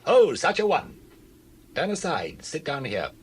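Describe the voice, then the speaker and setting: An actor playing a kindly, dignified landowner of ancient Israel in a dramatized Bible reading, solemn and measured. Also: male voice, fairly high pitch, huge pitch swings, breathy edge, full character voice. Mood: formal.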